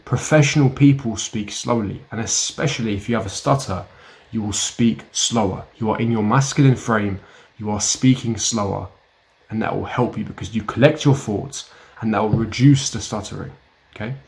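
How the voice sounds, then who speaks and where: A man's voice: on the low side, moderate pace, creaky, rough recording. A young man giving blunt, emphatic self-help advice straight to camera, repeating his key points. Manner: blunt, emphatic and repetitive, lecturing the viewer. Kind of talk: direct-to-camera advice talk.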